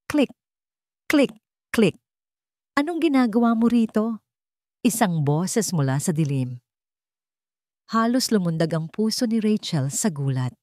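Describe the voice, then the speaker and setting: A woman with a Filipino accent narrating a scripted drama story in a measured, suspenseful way. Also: fairly low pitch, very animated, a little mellow, no room sound, studio quality.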